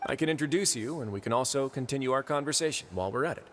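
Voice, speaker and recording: An actor playing a composed, dry-witted duke in a fantasy game, measured and courteous. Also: male voice, medium pitch, animated, noisy background, close to an everyday voice. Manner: measured, courteous, dryly understated. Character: composed, dry-witted male duke who runs a prison. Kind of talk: scripted game dialogue.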